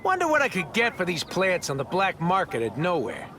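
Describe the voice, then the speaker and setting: An actor playing a cocky alien mechanic creature in a video game, brash, folksy and grumbling. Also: male voice, high voice, huge pitch swings, a little fast, pushed and bright, a little hiss or hum, heavily acted. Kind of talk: cartoonish video game voice lines.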